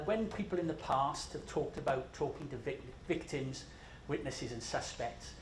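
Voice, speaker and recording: A man's voice: on the high side, darkish tone. Scottish man presenting in an echoey room. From Scotland. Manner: conversational, self-deprecating, many fillers. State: jet-lagged. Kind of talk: conference presentation. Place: large conference room. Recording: echoey conference room with faint noise.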